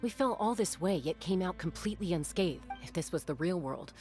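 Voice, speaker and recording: A woman playing a knowledgeable guide in a fantasy video game, measured, serious and composed. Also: fairly low pitch, animated, darkish tone, soft background music, lightly acted. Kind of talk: English video game voice acting.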